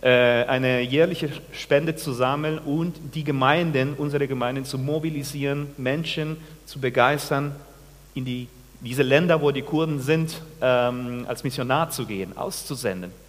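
A man in his forties from Peru, a pastor giving a sermon, speaking haltingly with many restarts and questions to the congregation. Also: medium pitch, big-room sound. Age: 40s. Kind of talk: sermon with personal testimony. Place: church.

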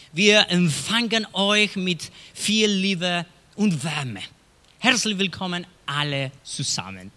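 Middle-aged chilean pastor guy preaching slow, bright high voice, big hall reverb. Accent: Chilean Spanish. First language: Spanish. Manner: slow, storytelling, with dramatic hushed drops. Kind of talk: sermon. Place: church hall.

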